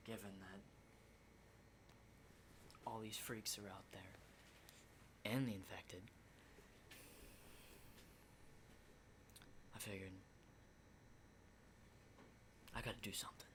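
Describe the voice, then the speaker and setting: A man playing a battle-hardened, rattled survivor of a zombie apocalypse in an ASMR roleplay, rambling and swearing in hushed, halting speech. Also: fairly low pitch, steady tone, noisy background. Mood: earnest, warning.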